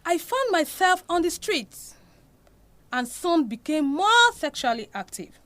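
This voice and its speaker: A woman's voice: very high-pitched, very animated, measured pace, fairly bright tone, heavily acted. A woman playing an anguished, devout Nigerian mother confessing a painful past in an audio drama. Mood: sorrowful confession.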